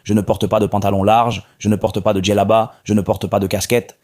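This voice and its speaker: Calm low-voiced Moroccan man. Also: brisk. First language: Arabic. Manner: calm, repeats himself. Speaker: guest. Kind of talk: interview conversation.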